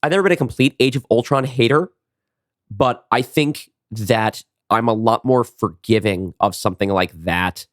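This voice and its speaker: A man's voice: mid-range voice, fairly bright tone, no room sound, clean studio sound. A man co-hosting a podcast, talking casually about writing and often restarting as he works out his thoughts. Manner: halting, repeats words as he works out thoughts. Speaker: podcast co-host and writer. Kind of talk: casual behind-the-scenes podcast chat.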